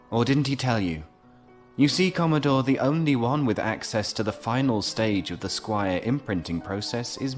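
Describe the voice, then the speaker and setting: Low-voiced, smug genius inventor played by a man. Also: close to an everyday voice. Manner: measured, drawling, suavely condescending. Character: smug, unflappable male genius inventor held prisoner. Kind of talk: science fiction audio drama acting.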